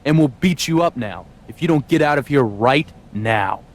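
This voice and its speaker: A man's voice: mid-range voice, expressive, normal speed, natural voice. A man playing a smug, threatening wrestling henchman in a scripted video game scene, blunt in delivery. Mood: smug menace. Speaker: pro wrestler.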